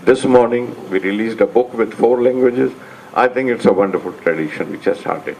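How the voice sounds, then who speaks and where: A man moderating a book launch panel, speaking in a measured, deliberate way. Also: lowish voice, darkish tone, a little hiss or hum, rough recording. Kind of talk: formal public remarks.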